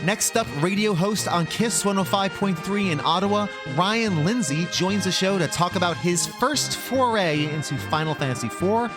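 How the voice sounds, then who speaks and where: Upbeat podcast host, quick laughter. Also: male voice, high voice, animated, over loud music. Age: around 40. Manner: laughs often, enthusiastic reactions. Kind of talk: casual chat and show introductions.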